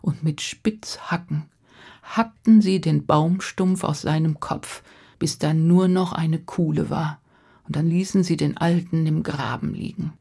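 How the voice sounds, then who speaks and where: Woman telling a fairy tale, slow and lowish voice, big pauses, drops to a hush for suspense. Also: creaky, slightly rough. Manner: dramatic pauses, repetition and hushed, whispery drops for suspense. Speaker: storyteller. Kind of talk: freely told fairy tale narration.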